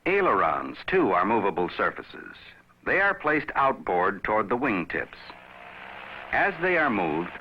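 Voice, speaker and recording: A man narrates a scripted educational film in a measured, instructive manner, addressing the viewer directly. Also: fairly low pitch, huge pitch swings, breathy edge. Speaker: narrator of a pilot training film. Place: recorded voice-over. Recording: dull, aged film soundtrack.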